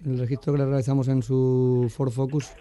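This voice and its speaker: A man's voice: mid-range voice, little pitch movement, brisk, warm tone, lightly acted. A man playing a bullying, taunting police officer in a prank phone call, pushy and mocking, often stammering out repetitions. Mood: accusing. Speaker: radio prank caller.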